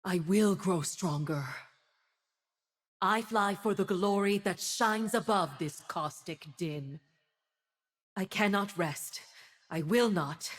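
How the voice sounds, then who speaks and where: A woman's voice: mid-range voice, slow, long pauses. A woman playing a stern, zealous divine winged warrior in a video game, making solemn, grandiose declarations. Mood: solemn, resolute. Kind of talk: video game character voice lines.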